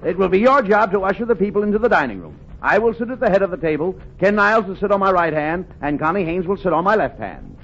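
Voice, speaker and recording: A man's voice: high-pitched, expressive, fast talker, few pauses, a bit bright. A man playing a flustered, exasperated uncle in a 1940s radio comedy, stammering and pleading as he gives orders. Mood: bossy. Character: flustered, exasperated uncle hosting a formal dinner. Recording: muffled noisy 1940s radio, studio audience.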